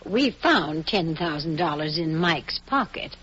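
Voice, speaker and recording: A woman's voice: low voice, expressive, a little slow, a bit bright, slightly rough, full character voice. An actress playing a soothing older mother with hidden menace in a vintage radio crime drama. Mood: grave, hesitant. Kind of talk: 1940s radio acting. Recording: dull, noisy vintage radio recording with hum.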